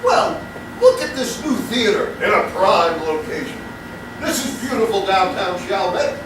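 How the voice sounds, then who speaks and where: A high-voiced man performs comic patter like a mock commercial announcer. He is on a live stage, heard from a distance in a very echoey space. There is clear noise around him. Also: very animated, measured pace. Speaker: comedic guest performer in a live stage show. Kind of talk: scripted comedy banter and mock sponsor commercial. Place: live stage in a theater, before an audience. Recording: very echoey hall, distant mic, noisy.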